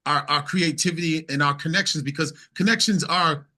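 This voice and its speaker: A man with a fairly high, sharp-edged voice talks as a singer-songwriter being interviewed. He is conversational but emphatic, often restating a phrase to drive it home. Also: a little fast. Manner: emphatic, repeats phrases as he builds points.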